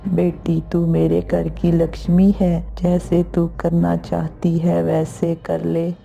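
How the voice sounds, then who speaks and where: Affectionate old mother-in-law, female actor: gravelly, monotone, slow, gentle, cartoon storytelling. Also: fairly low pitch, highs cut off, exaggerated voice. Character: elderly, affectionate mother-in-law, just woken. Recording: faint music behind the voice.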